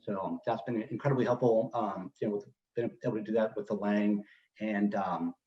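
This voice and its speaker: A man's voice: lowish voice, fairly even intonation, normal speed. A man who stutters, giving a casual personal talk about his experience, with fillers and light repetitions. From Texas, US. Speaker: presenter at a speech celebration. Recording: roomy, distant, dull sound.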